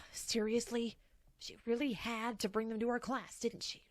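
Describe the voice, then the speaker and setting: A woman's voice: a little high, little pitch movement, a little slow, dry recording, studio quality, natural voice. A woman voicing an ambitious, jealous young female pony student in an audio drama, smug and grumbling. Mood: jealous, annoyed.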